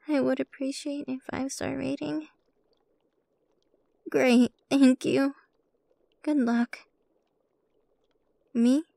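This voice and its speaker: A woman playing a sly, playful witch shopkeeper with a soft heart in an ASMR roleplay, coy and teasing as she addresses the listener. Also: sits high, fairly even intonation, takes it slowly, creaky, long pauses, dry recording, exaggerated voice. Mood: kindly, brisk. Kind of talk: ASMR roleplay, scripted character monologue.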